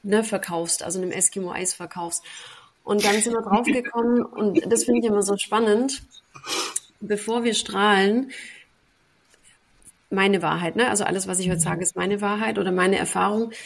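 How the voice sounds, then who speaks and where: Woman on a video call from her flat, kinda flat melody, lots of ähm and english words mixed in, easygoing podcast chat. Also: mid-range voice, moderate pace, creaky, poor audio. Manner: warm, flowing storytelling with many fillers and English loanwords. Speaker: podcast guest, sales mentor. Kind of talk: casual conversational interview. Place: her apartment, at home.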